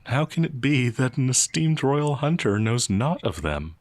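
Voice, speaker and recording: A man playing a gentle, naive young peasant werewolf, hesitant and easily flustered, in a fantasy audio roleplay. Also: medium pitch, big pitch swings, unhurried, no room sound. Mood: puzzled, amused.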